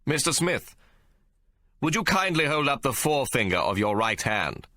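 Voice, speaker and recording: A man's voice: medium pitch, sing-song, bright, forceful tone, no echo, studio quality, voice pushed up. A man playing a pompous stern judge. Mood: probing. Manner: slow, pompous, measured. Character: stern older male judge, pompous and commanding.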